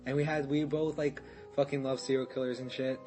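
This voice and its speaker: A man's voice: mid-range voice, level tone, brisk, darkish tone, soft background music. A young man guesting on a podcast, telling a story in casual chat, rambling, restarting and swearing, with laughs mixed in.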